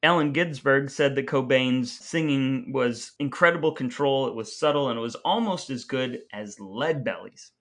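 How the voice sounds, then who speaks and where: Man, mid-pitched voice, podcast co-host, conversational, explanatory storyteller, measured phrasing. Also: fairly bright tone. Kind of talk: conversational music history discussion.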